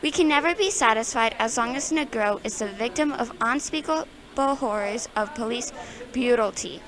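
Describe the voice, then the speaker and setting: A woman's voice: on the high side, moderate pace, a bit bright, low-quality recording. A female student reading a speech aloud. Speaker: student reader. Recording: crowd chatter behind.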